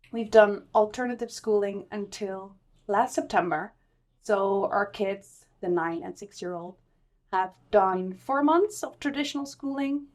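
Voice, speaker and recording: A woman in her thirties from Italy, a mother of three, chatting as a guest in a casual group podcast and laughing easily. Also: mid-range voice.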